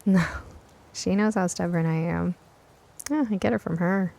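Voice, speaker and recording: A woman's voice: on the low side, normal speed, creaky, warm tone. A woman voicing a stubborn, caring young girl in an audio drama, earnest and eager with a sarcastic streak. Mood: wry, confident.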